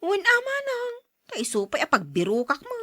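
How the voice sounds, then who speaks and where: A woman voicing a farmer's wife in a radio drama, bright, lively, slightly high voice, teasing. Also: moderate pace, dry recording, clean studio sound, heavily acted. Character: farmer's wife, practical and gently complaining. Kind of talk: audio drama acting.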